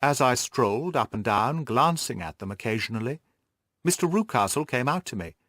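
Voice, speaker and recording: A man's voice: medium pitch, lively intonation, moderate pace, fairly bright tone, no room sound. A man narrates as a curious, observant young governess in a Victorian audiobook story. The delivery is earnest and formal, rising and falling expressively. The sound is dry, with no room around it.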